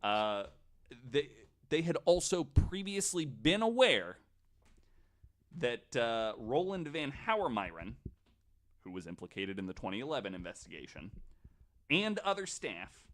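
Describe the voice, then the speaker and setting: A man's voice: mid-range voice, very animated, a little slow. A man casually telling a researched story on a podcast, in an informal, somewhat hesitant way.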